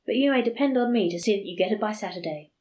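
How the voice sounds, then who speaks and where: A woman's voice: mid-range voice, lively intonation, fast, a little mellow, voice pushed up, lightly acted. A woman voicing a lustful village priest who wheedles and boasts. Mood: wheedling.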